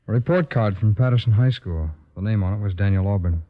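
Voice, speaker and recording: A man's voice: fairly low pitch, quick pace, close to an everyday voice. An actor playing a businesslike, deferential American lawman reporting to his superior in an old radio crime drama. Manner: businesslike, matter-of-fact. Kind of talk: 1940s-50s radio crime drama acting. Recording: tinny, narrow-band old radio recording.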